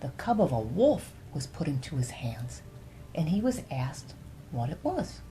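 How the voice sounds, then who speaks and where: A woman telling bedtime fables as a storyteller, in an unhurried, soothing and expressive way. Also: low-pitched, faint music behind, low-quality recording.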